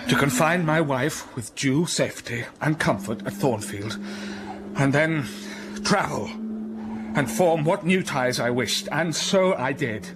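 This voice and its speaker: A brooding gentleman in a radio drama, slow, measured, passionate bursts, mid-pitched voice. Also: very noisy. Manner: slow, brooding storytelling with sudden rises of passion.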